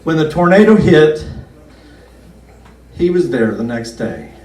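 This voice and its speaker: A man speaks a little high for a man, folksy and earnest, leaning on slow, drawn-out words to stress a point. His campaign pitch sounds roomy, caught from a way off the mic. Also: sing-song, background noise. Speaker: county commissioner running for reelection. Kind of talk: campaign speech at an outdoor picnic. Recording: roomy, distant PA-style recording.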